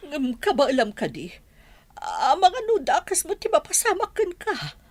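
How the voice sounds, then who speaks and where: A fretful mother and lady of the house in a radio drama. Her voice sits a little high and moves up and down with great animation. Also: wildly swooping pitch, measured pace, heavily acted. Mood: anxious. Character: anxious mother, a village madam fretting over her daughter. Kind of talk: Ilocano radio drama acting.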